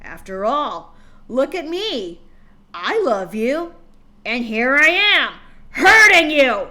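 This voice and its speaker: A cruel male pony father , played by a woman reading a story, speaks loud and harsh. His slow, gloating growl carries open menace. There is no warmth in the voice. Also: high-pitched, very animated, pitched above the performer's own voice, heavily acted. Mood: menacing.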